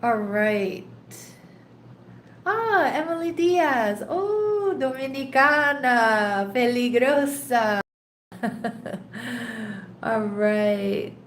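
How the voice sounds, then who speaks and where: A congested, slightly nasal woman hosts a live tarot stream in an unhurried, chatty way, laughing lightly as she talks to her viewers. Her voice sits a bit high. The sound carries a roomy echo with a faint hiss underneath. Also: expressive. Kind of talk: casual live-stream chat. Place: home in a rainy tropical place. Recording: echoey room, faint background noise.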